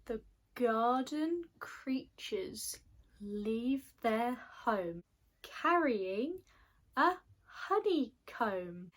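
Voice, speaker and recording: Very slow-paced woman reading a children's story. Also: fairly high pitch, animated. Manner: slow, deliberate phrasing in short broken chunks. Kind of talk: children's picture-book read-aloud with signing.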